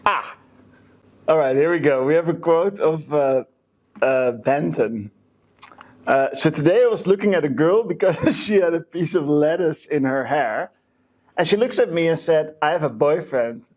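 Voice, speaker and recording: A man co-hosting a podcast in casual chat, with a hesitant, halting way of talking that often restarts mid-sentence. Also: on the high side, darkish tone. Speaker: podcast co-host.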